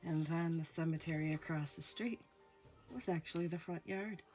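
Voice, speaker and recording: A woman hosting a ghost story show, telling a personal haunting story slowly with hushed pauses, her voice a little off from a recent illness. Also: low voice, soft background music. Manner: slow, hushed, dramatic pauses. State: recovering from illness, voice sounds off. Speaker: storyteller host. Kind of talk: spoken ghost story narration.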